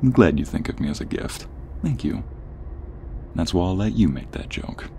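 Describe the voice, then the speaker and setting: A low, deep voice belongs to a man acting as a protective young male friend in a romantic audio roleplay. He talks closely and earnestly, with a teasing streak, over a steady vehicle-like background rumble. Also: normal speed. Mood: warm, teasing. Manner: earnest, teasing, sighing and chuckling between phrases. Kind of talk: ASMR romantic audio roleplay, intimate one-sided dialogue.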